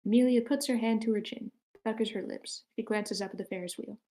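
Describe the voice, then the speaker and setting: Woman reading out screenplay action lines, relaxed warm tone, table read narrator. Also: medium pitch, fairly quick. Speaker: narrator reading stage directions.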